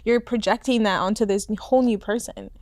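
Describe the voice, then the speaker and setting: A woman's voice: medium pitch, fairly quick, crowd noise. A woman chatting casually as a podcast guest, blunt and sweary, acting out quotes as she tells things. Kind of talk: animated casual chat.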